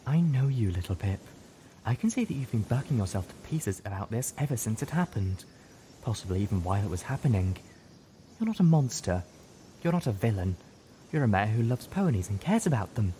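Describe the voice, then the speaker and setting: A man voicing a gentle, caring female unicorn healer with soft, articulate speech in an audiobook. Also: fairly low pitch, animated, brisk, slight background noise, lightly acted, low-quality recording. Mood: tender. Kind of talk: audiobook character voice.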